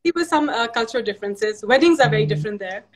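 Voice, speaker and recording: Woman, 30s, high-ish voice; chatty guest on a video call, laughs often, restarts lines. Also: lively intonation, fast talker. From Pakistan. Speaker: interview guest, fashion designer. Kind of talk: casual video call interview.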